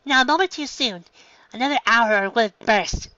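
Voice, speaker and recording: Woman doing a bright, high little cartoon reindeer doctor. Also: moderate pace, no room sound, highs cut off. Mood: brisk, relieved. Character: small, excitable reindeer doctor, matter-of-fact, cartoon character. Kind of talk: fan fiction reading of a cartoon.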